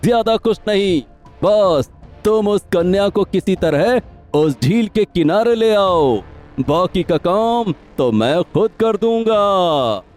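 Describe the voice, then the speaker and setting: Man acting a high-pitched gloating evil sorcerer. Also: expressive, slow pace, darkish tone, faint music behind. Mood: sly, boastful. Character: sinister male sorcerer, gleefully scheming and boastful. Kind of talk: Hindi cartoon moral-story acting.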